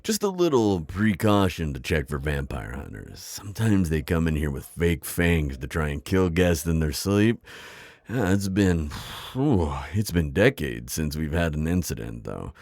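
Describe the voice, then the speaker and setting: A man playing a friendly, wise old vampire innkeeper in an ASMR roleplay, with a deep gravelly voice and a chatty, reassuring manner. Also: big pitch swings, unhurried, a little mellow, dry recording, heavily acted. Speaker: roleplay audio performer. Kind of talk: immersive ASMR roleplay acting.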